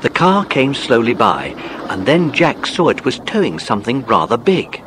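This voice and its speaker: A man's voice: medium pitch, very animated, moderate pace, noisy background. A man narrating a children's story from a script, as in an audiobook drama.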